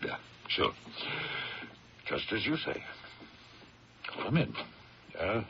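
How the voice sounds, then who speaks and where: An actor playing a pragmatic, dryly skeptical campaign aide, brisk, wry and persuasive, in a 1970s radio drama. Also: male voice, on the low side, sing-song, creaky, exaggerated voice. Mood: coaxing. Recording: muffled, noisy 1970s radio broadcast.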